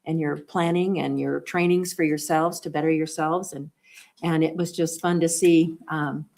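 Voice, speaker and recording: A woman's voice: low voice, fairly even intonation, normal speed, vocal fry, darkish tone. A woman serving as school board president, giving encouraging thanks at a board meeting. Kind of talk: formal remarks at a public meeting.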